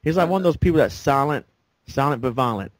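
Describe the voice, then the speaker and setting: Casting note man, mid pitch, rambling casual game talk, chuckles a lot, dry close mic. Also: expressive, fast, no echo. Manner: rambling, laughs often, filler-heavy. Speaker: co-host of a game review show. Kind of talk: casual gaming discussion.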